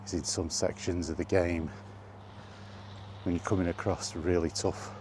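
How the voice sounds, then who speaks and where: A man's voice: low-pitched, flat delivery, normal speed, noisy background. A man chatting casually in a rambling, hesitant vlog style, thinking aloud as he goes. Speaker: gaming content creator and podcaster.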